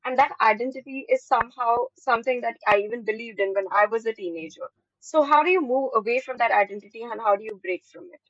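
A woman's voice: fairly high pitch, fast talker, no echo. Indian-accented woman host, dull dry audio. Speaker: podcast host. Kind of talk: conversational interviewing.

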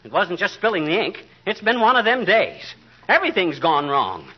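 A sputtering hot-tempered husband. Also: male voice, high voice, big pitch swings, moderate pace, slightly rough, exaggerated voice. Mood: griping. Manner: sputtering, mock-swearing with folksy exclamations. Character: blustery hot-tempered middle-aged husband, boastful. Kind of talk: 1940s radio comedy acting. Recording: muffled old radio recording with faint hiss.

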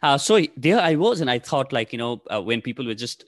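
A man's voice: mid-range voice, big pitch swings, fairly quick, no echo, studio quality. A man in his thirties from Nepal, whose first language is Nepali, answering interview questions casually with many fillers and restarts. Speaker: podcast host, guest here.

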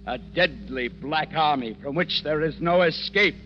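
A man's voice: fairly high pitch, a little slow. A man giving dramatic, scripted 1940s radio narration. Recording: muffled old radio broadcast, loud music.

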